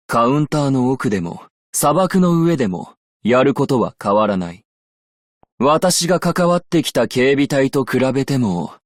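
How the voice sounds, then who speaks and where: A composed, worldly desert merchant for a video game. He speaks slowly and formally, with shrewd dignity and measured phrasing. The recording is dry and close, with no room sound. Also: medium pitch, dry recording. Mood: calm, assured. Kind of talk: Japanese game character voice lines.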